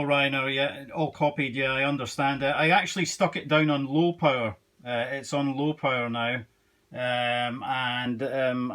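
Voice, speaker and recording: Guy on ham radio, kinda halting, casual chat with radio lingo. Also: medium pitch, fairly bright tone, slight background noise. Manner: hesitant, halting phrasing with radio procedure words. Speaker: amateur radio operator.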